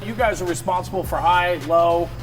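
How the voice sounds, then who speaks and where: A man's voice: high-pitched, steady tone, normal speed, poor audio. An American man hosting an on-location interview, enthusiastic and affirming as he guides the tour. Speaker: host, interviewer. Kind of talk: on-location interview for a video series. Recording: music clearly behind the voice.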